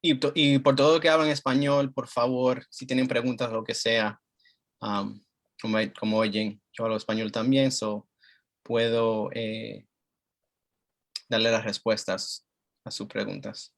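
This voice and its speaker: A man from the Dominican Republic works as a family doctor and gives a community health talk. His pitch sits in the middle and his delivery is halting, full of "um" and restarts. He keeps the tone plain and explanatory. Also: flat delivery, gravelly. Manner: halting, many fillers and restarts.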